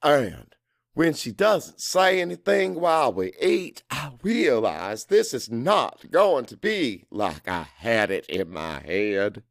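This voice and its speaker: A man's voice: medium pitch, sing-song, takes it slowly, creaky, no room sound, studio quality. A man narrating first-person dramatic fiction in a brooding, confessional manner. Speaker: audiobook narrator.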